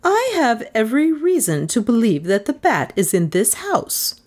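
A woman's voice: a little high, big pitch swings, normal speed, pitched above the performer's own voice. Give me a woman playing an obstinate elderly spinster, firm and insistent, in a spoken storybook. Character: stubborn, proud elderly spinster.

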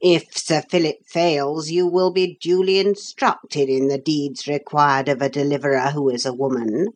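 A woman's voice: low-pitched, steady tone, normal speed. A woman voicing a solemn, formal male storybook leader with cold, pompous dignity. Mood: formal, measured. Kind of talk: storybook character voice.

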